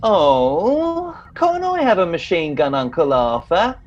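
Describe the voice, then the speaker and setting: A man's voice: sits high, very animated, takes it slowly, highs cut off, full character voice. A man playing a naive, sulky young private and mummy's boy in a comic radio play, childish and a little whiny. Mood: eager.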